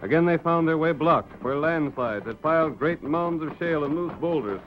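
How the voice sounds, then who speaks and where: A man's voice: fairly high pitch, expressive, normal speed, background noise. A man narrating a radio western as announcer, in dramatic scripted 1930s style. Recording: muffled, band-limited 1930s radio recording.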